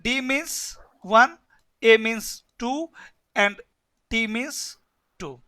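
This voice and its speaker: Bright-voiced man teaching networking. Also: extremely high pitch, very slow. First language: Hindi. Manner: repetitive, keeps asking "yes or no?". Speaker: networking instructor. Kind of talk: live online class lecture.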